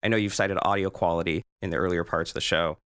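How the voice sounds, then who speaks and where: A man's voice: deep voice, steady tone, fast talker, fairly bright tone, clean tone. A man hosting a casual interview, talking in a discursive way and rephrasing his questions as he goes. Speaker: podcast host, interviewer.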